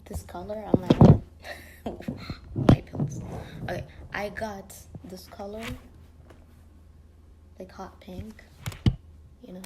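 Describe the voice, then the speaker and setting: A woman's voice: medium pitch, heavy background noise. A woman vlogger chatting to camera in a rambling, casual way, full of fillers and dropping now and then into whispery asides. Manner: drifting, many fillers, drops into whispery asides.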